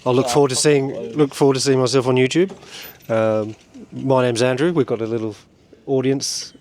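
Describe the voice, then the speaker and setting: A man's voice: mid-range voice, fairly even intonation, normal speed, a little mellow. A man co-hosting a casual podcast, hesitant and drily self-deprecating. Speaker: co-host. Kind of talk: casual chat.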